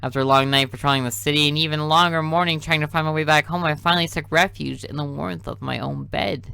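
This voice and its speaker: A man's voice: fairly high pitch, steady tone, fast, creaky, bright, forceful tone, clean tone, no room sound. A man who is a gaming YouTuber, reading a visual novel aloud with casual, joking commentary.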